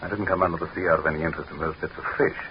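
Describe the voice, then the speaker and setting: Imperious deep-voiced statesman, played by a man, old radio. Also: steady tone, racing, close to an everyday voice. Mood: sardonic, musing. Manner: pompous, musing, commanding. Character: imperious, sardonic head of state, addressed as Your Excellency. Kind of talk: 1940s radio drama acting. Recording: muffled, hissy 1940s radio recording.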